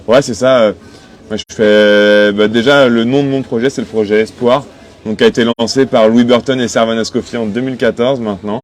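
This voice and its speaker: A man's voice: medium pitch, steady tone, brisk. A man of twenty-five from western France, answering interview questions conversationally as a skipper. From Cholet, western France. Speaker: sailing skipper being interviewed. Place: aboard a sailing boat in port. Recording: noticeable background noise on location.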